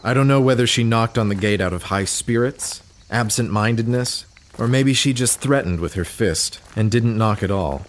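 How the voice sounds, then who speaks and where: An actor playing a reflective man recounting his past in a literary audio drama, measured and pausing between short phrases. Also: mid-range voice, a little hiss or hum, natural voice. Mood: reflective, uncertain.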